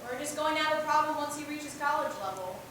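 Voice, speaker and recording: Casting: young actress as an encouraging, protective female teacher, bright and very high-voiced, in a cavernous empty theatre. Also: animated, quick pace. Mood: concerned pride. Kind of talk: amateur student stage acting. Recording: echoey stage, distant mic, low rumble.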